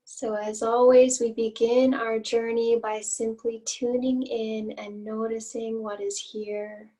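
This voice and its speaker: A woman leading a guided meditation as an instructor, with gentle, inviting phrasing and long pauses, recorded at some distance from the mic. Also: fairly high pitch, little pitch movement.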